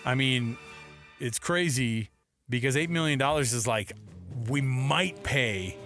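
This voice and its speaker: An American man co-hosting a sports talk show, casual and slangy, joking and excitable as he gives his commentary. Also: medium pitch, takes it slowly, faint music behind. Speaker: sports radio co-host. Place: radio studio.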